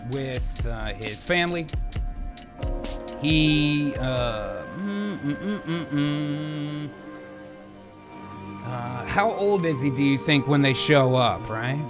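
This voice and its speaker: A man hosting a casual live stream, brainstorming out loud in a halting way, trailing off into hums as he mulls over words. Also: on the high side, lively intonation, over music. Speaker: host of a live streamed improv show. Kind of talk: casual brainstorming, thinking aloud.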